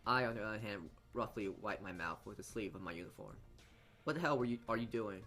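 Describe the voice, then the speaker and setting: A man reading a visual novel aloud as dramatic narration, halting often as he stumbles over and repeats words. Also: fairly low pitch, a bit bright, faint music behind. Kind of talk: dramatic read-aloud narration for a let's play.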